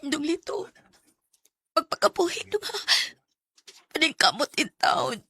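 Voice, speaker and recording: A Visayan Filipino woman playing a devoted, soft-hearted young wife in a radio drama. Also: high-pitched, big pitch swings, unhurried, heavily acted. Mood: tearful pleading. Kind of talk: Bisaya radio drama acting.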